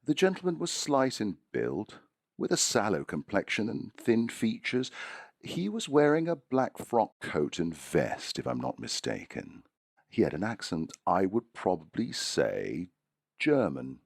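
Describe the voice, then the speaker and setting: A man's voice: lowish voice, huge pitch swings, measured pace, vocal fry, dry recording, studio quality, exaggerated voice. A man playing a helpful, hesitant Victorian silversmith in an audio drama. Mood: thoughtful, recalling.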